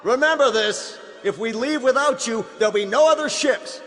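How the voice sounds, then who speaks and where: An actor playing a firm, urgent military colonel in a science-fiction radio drama. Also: male voice, very high-pitched, moderate pace, a bit bright. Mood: urgent, stern. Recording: long hall-like reverb, music underneath.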